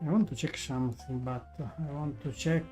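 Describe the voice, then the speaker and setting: A man's voice: medium pitch, moderate pace, mellow. A man who is not a native English speaker gives casual live gameplay commentary, hesitantly thinking aloud. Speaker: game streamer. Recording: clear game music behind. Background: game music.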